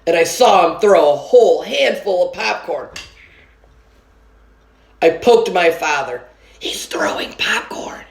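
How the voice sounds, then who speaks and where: A woman reading a children's book aloud with an expressive storytelling manner. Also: lowish voice, a little slow, gravelly, pauses often, roomy sound. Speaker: story reader.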